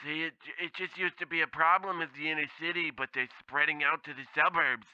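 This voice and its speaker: A man's voice: fairly high pitch, fairly even intonation, a little fast, sharp tone, clear, resonant tone, dry recording, lo-fi sound. A man playing a blithely tactless temp agency clerk who rambles and bumbles in a comedy radio play. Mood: ominous warning. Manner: rambling, bumbling, bluntly insensitive.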